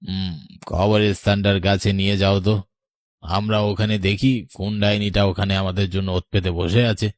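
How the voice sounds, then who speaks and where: A sceptical cartoon police inspector played by a man with a fairly low voice. He is brusque, dismissive and commanding, recorded dry and close. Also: little pitch movement, moderate pace, no echo, close to an everyday voice. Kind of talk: horror cartoon dubbing.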